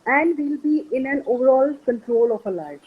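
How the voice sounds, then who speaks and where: A woman with a husky voice speaking as a panel guest, earnestly listing her points one by one. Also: high voice, animated, moderate pace, phone-quality sound, slight background noise. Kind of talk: panel talk.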